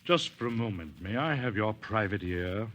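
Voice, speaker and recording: An actor playing a smug, menacing retired colonel with a formal, insinuating manner, in 1940s radio drama style. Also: male voice, lowish voice, expressive, normal speed, a bit bright, a little hiss or hum. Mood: oily, formal. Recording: dull, lo-fi 1940s radio recording.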